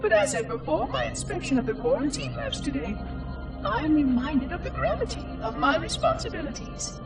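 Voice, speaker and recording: An echoing, very high, synthetic voice of an ancient robot caretaker. He speaks in formal, exact, theatrical phrases, fussy and dutiful yet curious and lonely, with sweeping intonation, like a log entry in a sci-fi video game. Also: wildly swooping pitch, fairly quick, heavily acted. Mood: solemn, regretful. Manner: precise, formal, theatrical enunciation. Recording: distant, echoing, music underneath. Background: music.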